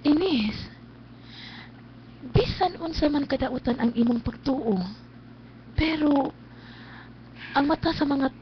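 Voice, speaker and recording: An actress playing a wounded mother in a Philippine radio drama, halting, pleading and tearful, with a Cebuano accent. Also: high voice. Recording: dull lo-fi webcast with noise.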